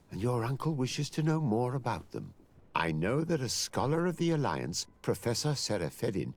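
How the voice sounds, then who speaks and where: An actor playing a courteous older professor and mapmaker in a fantasy game, creaky-voiced, polite and wordy. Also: male voice, lowish voice, exaggerated voice. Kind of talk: fantasy video game acting.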